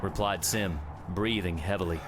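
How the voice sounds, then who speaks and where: Clear background music runs under a man's low voice. He narrates a scripted adventure story in a dramatic audiobook style. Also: fairly even intonation, moderate pace.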